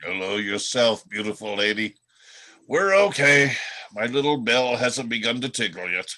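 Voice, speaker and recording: A man's voice: mid-range voice, moderate pace, sharp tone, lightly acted. A man reading the part of a wry, world-weary old reindeer telling stories in a radio play. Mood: fond.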